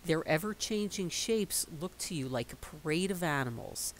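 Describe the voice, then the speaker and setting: A middle-aged woman reading a picture book aloud in a slow, expressive storytelling voice. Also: low voice, slight background noise, poor audio.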